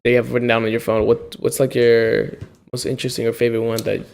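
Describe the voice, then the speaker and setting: A man's voice: medium pitch, completely flat delivery, fast, creaky, darkish tone, a little hiss or hum. A young man chatting on a podcast, laid-back and laughing often, stumbling over his openings. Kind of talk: casual chat.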